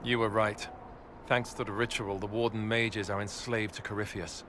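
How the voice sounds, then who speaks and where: A man's voice: lowish voice, flat delivery, moderate pace, noisy background, natural voice. An actor playing a grave male warrior knight who speaks earnestly and seriously.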